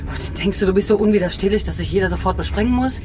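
A proud, defensive young pirate fighter, played by a woman, with a mid-pitched voice. The delivery is guarded and indignant, in a radio drama with clear background noise. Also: fast talker, close to an everyday voice. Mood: scornful. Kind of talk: audio drama acting. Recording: noisy ambience behind the voice. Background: noisy ambience.

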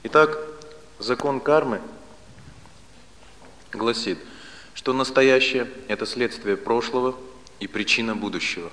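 A man in his late thirties giving a spiritual philosophy lecture, speaking deliberately in short, didactic phrases with pauses and repetition. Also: mid-range voice. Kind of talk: lecture on Vedic philosophy to a live audience. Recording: reverberant hall, loud steady background noise.

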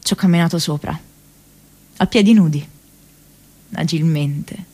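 A woman's voice: sits low, measured pace, darkish tone, breathy edge. An actress playing a restless, lovelorn and self-mocking young woman in a theatrical, emotional radio monologue. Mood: reflective, tender. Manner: theatrical, emotional, with repeated phrases.

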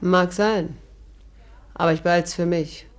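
An actress playing a cool, mocking young barmaid in a 1950s German radio play, with a teasing, flippant delivery. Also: female voice, on the low side, fairly even intonation, unhurried, lightly acted.

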